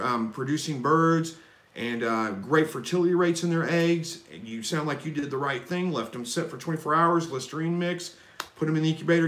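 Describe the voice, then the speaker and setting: A man hosting a live stream, reading viewer questions and answering in a relaxed, folksy, rambling way. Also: fairly high pitch, reverberant. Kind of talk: casual live chat Q&A.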